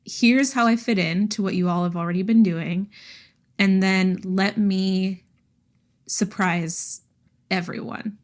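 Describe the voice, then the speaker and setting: A woman's voice: mid-range voice, steady tone, slow. Female podcast co-host, mid-range voice, informal and conversational book discussion.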